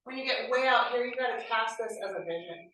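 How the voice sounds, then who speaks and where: Woman in her 40s, bright and chatty trainer, keeps asking "right?", recorded from far off in a very echoey meeting room. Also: on the high side, fast. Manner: lively, conversational, keeps checking in with "right?". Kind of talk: motivational training talk. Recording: very echoey room, mic far off, faint noise.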